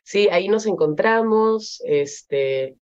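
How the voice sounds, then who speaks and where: A young Peruvian woman with a Peruvian Spanish accent, an actress being interviewed, speaking thoughtfully with many pauses and fillers. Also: medium pitch, animated, a little mellow, highs cut off. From Peru. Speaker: actress guest. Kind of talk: interview conversation.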